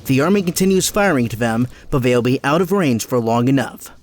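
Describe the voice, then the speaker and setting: A man's voice: mid-range voice, animated, brisk. A man reading scripted dramatic narration for an audio drama.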